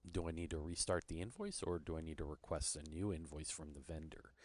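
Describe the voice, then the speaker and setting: A man's voice: extremely low pitch, lively intonation, a little fast. A man presenting an informal screen-share product demo, explaining things step by step.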